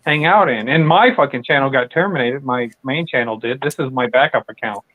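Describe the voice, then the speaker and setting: A man's voice: medium pitch, fairly quick, dry recording. A man hosting a late-night casual chat, rambling and profane, with lots of fillers and restarts. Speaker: channel host. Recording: tinny dry phone-like audio.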